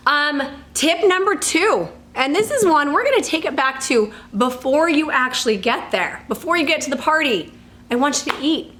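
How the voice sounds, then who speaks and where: Upbeat, slightly hoarse woman with a high voice. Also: animated, normal speed, a bit bright, a little hiss or hum. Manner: upbeat and chatty, with laughs and self-corrections. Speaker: fitness coach and host. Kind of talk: casual tips to camera.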